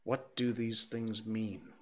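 A man's voice: on the low side, flat delivery, slow pace, pitched above the performer's own voice. A man voicing a frantic despairing clergyman. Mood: bewildered. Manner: wailing, pleading, preaching in rising outbursts.

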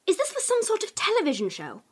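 A woman's voice: very high voice, huge pitch swings, quick pace, exaggerated voice. A woman playing a dry, prim skeptic in an audio drama, questioning with disdain. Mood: disdainful. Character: dry, skeptical stranger questioning a monster hunter.

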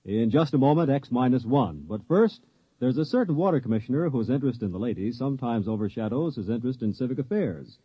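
A man reading a network promo in a smooth 1950s radio announcer style. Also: medium pitch, lively intonation, quick pace, dry recording. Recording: dry, dull vintage radio recording.

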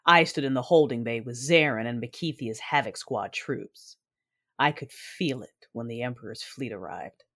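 An actress playing an intense, coolly confident Sith warrior woman, narrating a sci-fi audio drama in a husky, measured voice over music. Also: extremely low pitch, fairly bright tone, full character voice. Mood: brooding, wry. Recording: music under the voice.

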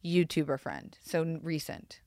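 A young woman hosting a podcast, asking rapid questions in a teasing, quick-witted way and laughing often. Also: low voice, darkish tone, no echo, studio quality. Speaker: podcast host. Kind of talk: casual interview chat.

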